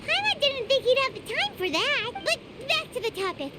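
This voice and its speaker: A woman voicing a small, excitable, blunt fairy companion in an anime game, with hesitant fillers and talk of herself in third person. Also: very high voice, normal speed, heavy background noise. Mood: doubtful. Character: tiny high-voiced childlike floating fairy companion, excitable and blunt. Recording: music behind the voice.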